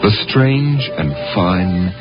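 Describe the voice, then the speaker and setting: A man's voice: deep voice, expressive, very slow, dull audio. A man narrating a 1950s radio drama in a solemn, literary, suspenseful way. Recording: vintage 1950 radio broadcast, music behind. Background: dramatic music.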